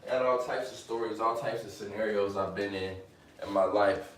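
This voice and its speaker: Motivational low-voiced man in his twenties. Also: steady tone, normal speed, echoey room, slight background noise, low-quality recording. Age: 20s. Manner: repeats phrases, motivational, fillers like "you know what I'm saying". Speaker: fitness live streamer. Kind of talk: casual live stream chat.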